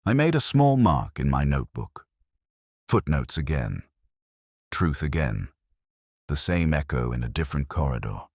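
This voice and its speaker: A brooding, introspective police inspector played by a man narrates his case in an extremely deep voice. His delivery is measured, solemn and literary, with pitch that moves widely within that low register. The recording is dry and close, in the manner of a scripted detective audio drama. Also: very animated, darkish tone, no echo, full character voice. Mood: brooding, reflective. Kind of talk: scripted first-person detective story narration.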